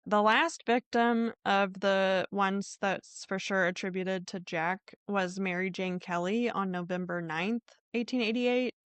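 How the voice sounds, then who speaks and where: A woman's voice: medium pitch, steady tone, unhurried, few pauses, clean tone, no echo. A woman hosting a podcast, telling true crime stories in an informal, conversational way with a hedging manner. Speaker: podcast host.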